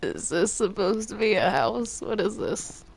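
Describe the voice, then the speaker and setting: Slow, creaky-voiced woman gaming. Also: mid-range voice, very animated, a little hiss or hum. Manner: hesitant, stammering, repeats words. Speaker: gamer in a group roleplay. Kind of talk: casual improvised gameplay chat.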